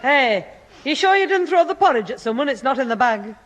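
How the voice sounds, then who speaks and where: A woman playing a brisk, sceptical middle-aged mother in a 1960s radio sitcom. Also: high voice, big pitch swings.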